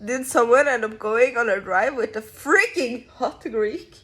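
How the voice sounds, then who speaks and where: A woman's voice: on the high side, unhurried, a bit bright. A woman with a Norwegian accent chatting playfully and casually with a friend in a vlog. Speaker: vlogger. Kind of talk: casual chat.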